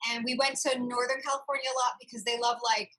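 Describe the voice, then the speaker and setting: A woman's voice: a little high, level tone, fast, fairly bright tone, rough recording. A woman hosting a casual video chat interview, with an effusive way of talking. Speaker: talk show host.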